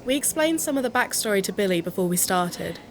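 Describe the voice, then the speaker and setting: A woman's voice: mid-range voice, animated, a little fast, crowd noise, close to an everyday voice. An actress playing a chatty, curious, playful woman who makes an amateur true-crime podcast, in an audio drama. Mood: earnest.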